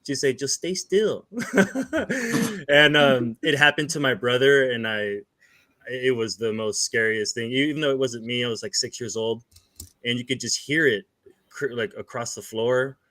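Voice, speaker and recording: A man's voice: medium pitch, measured pace, sharp tone, no room sound. A man from Hawaii telling stories in a casual chat. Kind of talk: casual storytelling.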